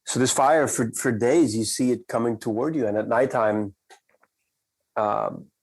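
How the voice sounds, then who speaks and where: A man from the Netherlands, a company cofounder, telling a story in a measured, reflective way and slowing down for emphasis. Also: mid-range voice, a little mellow, breathy edge. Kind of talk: conversational storytelling.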